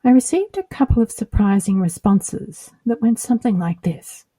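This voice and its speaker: Australian woman, dark husky voice. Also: medium pitch, expressive, moderate pace, no room sound. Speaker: podcast host. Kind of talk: scripted solo podcast narration.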